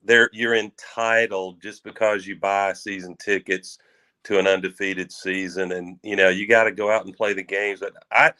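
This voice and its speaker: A man's voice: deep voice, little pitch movement, normal speed, sharp tone, rough recording. A man on a sports radio panel, talking in a wry, joking manner. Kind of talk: casual radio chat.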